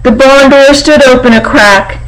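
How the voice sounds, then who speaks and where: A woman reading a children's storybook aloud in a measured, expressive way. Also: high voice, low-quality recording. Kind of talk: storybook read-aloud narration.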